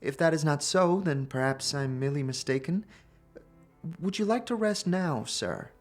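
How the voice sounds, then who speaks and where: A woman's voice: low-pitched, lively intonation, normal speed, faint music behind. A woman playing a polite, hesitant young clerk in a fantasy audio drama. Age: young adult.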